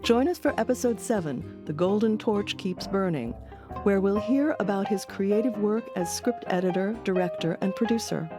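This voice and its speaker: A woman's voice: medium pitch, moderate pace, music in the background. A woman reading scripted narration as the host of a documentary podcast.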